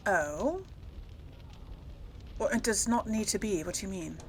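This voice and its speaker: A woman's voice: medium pitch, unhurried, slight background noise. A woman hosting a casual role-play game playthrough, thinking aloud and hesitating as she goes. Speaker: video game player and series host.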